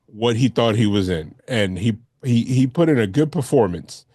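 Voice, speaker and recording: A man's voice: mid-range voice, lively intonation, normal speed, dark tone, breathy edge, no room sound, clean studio sound. A man co-hosting a podcast, talking movies casually with friends in an emphatic, slangy way. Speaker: podcast co-host. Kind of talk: casual film discussion with friends.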